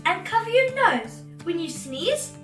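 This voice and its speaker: A woman reading a children's storybook aloud as host, in a theatrical, exclamatory way with drawn-out emphasis. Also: very high-pitched, big pitch swings, fairly bright tone, distant mic. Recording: roomy echo, off-mic, music bed.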